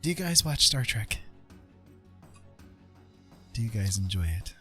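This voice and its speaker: A man's voice: lowish voice, big pitch swings, measured pace, bright, forceful tone. A man hosting a live art stream in a welcoming, chatty way, talking casually to viewers. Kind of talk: casual livestream chat. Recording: faint music behind.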